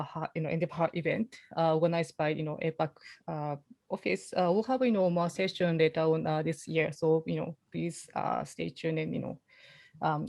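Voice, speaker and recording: A woman with a strong Japanese accent moderates an online panel over a video call, her voice sitting a little low and close on a dry mic. She talks haltingly, leaning on "you know" between phrases. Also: vocal fry, a little mellow, no room sound. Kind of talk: webinar moderating.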